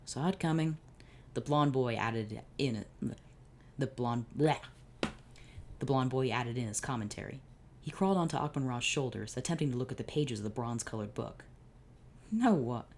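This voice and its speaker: A man's voice: mid-range voice, normal speed, slight background noise. A man, the channel's host, reading fanfiction aloud, stumbling over lines and adding sarcastic asides.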